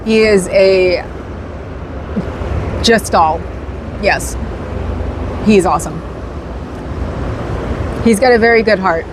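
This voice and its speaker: A woman's voice: mid-range voice, takes it slowly, long pauses, noisy background. A woman hosting a casual livestream, chatting with viewers in a rambling way and laughing often. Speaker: livestream host.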